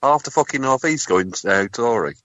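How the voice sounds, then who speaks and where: A man's voice: mid-range voice, animated, normal speed, a bit bright, a little hiss or hum. A British man co-hosting a podcast, ranting indignantly with heavy swearing. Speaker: podcast co-host. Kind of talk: casual profane chat. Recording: dull lo-fi sound.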